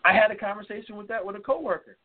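A man's voice: high-pitched, rapid-fire. A man co-hosting a casual advice talk, chatty and checking in with "okay?" as he goes. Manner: conversational, tags lines with "okay?" and "you know". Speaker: co-host. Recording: phone-like narrow audio.

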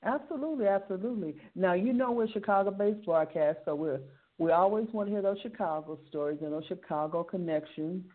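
A woman's voice: fairly low pitch, brisk. A woman hosting a radio interview, upbeat and encouraging, often repeating her words. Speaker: radio show host. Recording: tinny phone-like sound.

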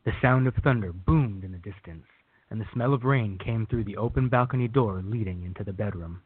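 A man with a husky voice reading scripted narration for a radio drama. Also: fairly low pitch, brisk, no room sound. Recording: thin phone-like band.

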